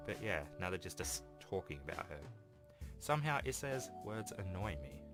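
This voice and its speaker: Young man, low voice, let's play narration, casual asides, stumbles over words, clear game music underneath. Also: very animated, normal speed. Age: young adult. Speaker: gaming video host reading a visual novel aloud.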